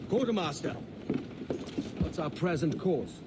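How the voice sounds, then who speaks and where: An actor playing a weary, roguish and resolute pirate captain in a video game cutscene. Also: male voice, on the high side, lively intonation, measured pace. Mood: commanding. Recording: game ambience noise behind.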